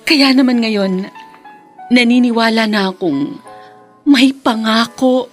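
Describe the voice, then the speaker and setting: An actress with a Filipino accent playing a grateful, softened widow in an earnest, emotional radio drama style. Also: female voice, on the high side, slow, soft background music. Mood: tender, hopeful. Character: reformed vengeful widow, grateful, softened, guarded in love.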